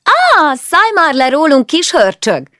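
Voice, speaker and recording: A woman's voice: high voice, dry recording, clean studio sound, voice pushed up, heavily acted. Woman playing a bossy playful teenage girl. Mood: mock-angry. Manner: mock-scolding, lively.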